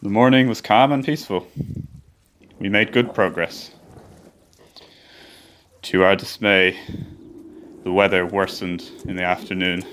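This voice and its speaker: A man's voice: fairly low pitch, a bit bright, slight background noise. A man playing a ship's chief engineer who soberly narrates a polar expedition in a radio play. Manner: sober storytelling.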